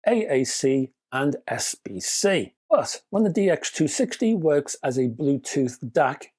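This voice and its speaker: A man's voice: mid-range voice, darkish tone. A British man narrating a scripted product review with chatty, wry asides and emphatic phrasing.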